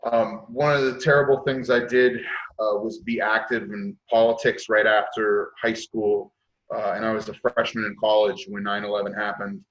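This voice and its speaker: A man's voice: on the low side, level tone, moderate pace, fairly bright tone. A man in his late thirties, a podcast guest, speaking frankly and reflectively with many hesitations. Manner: reflective, lots of uh fillers, frank. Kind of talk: casual chat. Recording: dull lo-fi mic.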